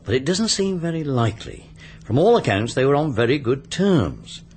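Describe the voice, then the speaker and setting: An actor playing a matter-of-fact, sceptical investigator with an English accent, speculating drily in a radio mystery drama. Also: male voice, medium pitch, big pitch swings, moderate pace, a little mellow, a little hiss or hum, close to an everyday voice. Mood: dry, speculative.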